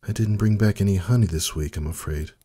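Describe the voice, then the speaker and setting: A man voicing a wise, weary old male pony in a storybook reading, speaking softly in a husky, measured, formal way. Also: fairly low pitch, pitched above the performer's own voice. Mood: gentle, tender. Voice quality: husky, soft, dark.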